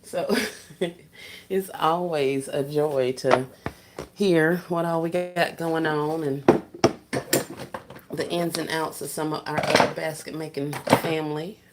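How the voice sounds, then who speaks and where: A soft-spoken woman, chatting casually with viewers on a livestream while she works on gift baskets. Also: sits low, fairly even intonation, takes it slowly, pauses often, very noisy. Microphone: phone set down while working.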